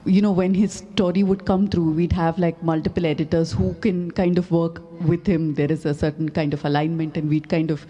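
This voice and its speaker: A woman's voice: fairly low pitch, little pitch movement, moderate pace, hardly any pauses, mellow, dull audio. A woman moderating a festival panel in a friendly, conversational way. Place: festival stage.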